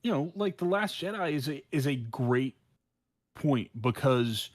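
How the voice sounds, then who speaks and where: A man's voice: mid-range voice, animated, unhurried, dry recording. A man co-hosting a podcast, talking casually with slang, swearing and a playful way about him. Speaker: podcast co-host. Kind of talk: casual chat.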